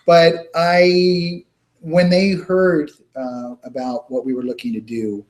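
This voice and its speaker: A man speaking as an interview guest, recounting experiences in an earnest, halting, informal conversational way. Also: on the high side, little pitch movement, a little mellow, poor audio. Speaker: interview guest, children's music charity worker.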